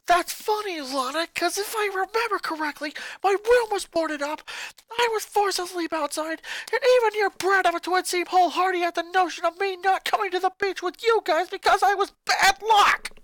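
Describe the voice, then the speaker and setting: A woman voicing an angry, resentful young boy who rants and shouts at his family. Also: very high voice, normal speed, no room sound, pitched above the performer's own voice. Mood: furious. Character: furious boy, eleven-year-old, bitter and resentful.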